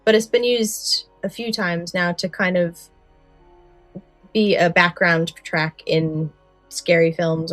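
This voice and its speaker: A woman's voice: medium pitch, no echo. A woman co-hosting a podcast, talking in a casual, explanatory way. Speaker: podcast co-host. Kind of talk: casual podcast chat with some read-out story summaries.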